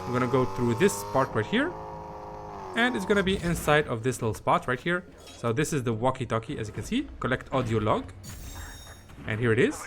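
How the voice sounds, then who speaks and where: A man's voice: mid-range voice, big pitch swings, a little fast, noisy background. A man giving a casual, rambling game walkthrough, often repeating himself in a conversational way. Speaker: gaming video host.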